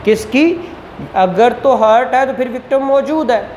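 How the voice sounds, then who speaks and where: A man with a Pakistani accent teaching law. He reads statute text slowly word by word, then explains it quickly and asks his students rhetorical questions. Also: very high-pitched, darkish tone, reverberant, a little hiss or hum, poor audio. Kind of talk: lecture mixing Hindi-Urdu explanation with English statute reading.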